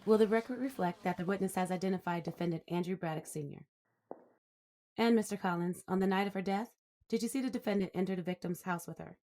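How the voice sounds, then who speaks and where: A woman's voice: lowish voice, fast, mellow, close to an everyday voice. Woman acting a formal, businesslike prosecutor. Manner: formal, precise, courteous. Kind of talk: audio drama acting, courtroom questioning.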